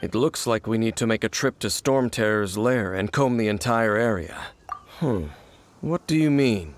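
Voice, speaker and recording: A man voicing a suave, secretive knight who speaks in a calm, measured and knowing way in a game cutscene. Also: fairly low pitch, slight background noise, lightly acted. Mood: thoughtful. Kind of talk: video game cutscene voice acting. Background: game soundtrack music.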